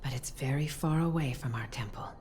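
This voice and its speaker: Game role: gentle priestess mother, low register, breathy, soothing, formal, music underneath. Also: fairly quick. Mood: grave. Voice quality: soft, breathy. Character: gentle, tender mother, solemn temple priestess. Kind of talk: voiced game cutscene dialogue.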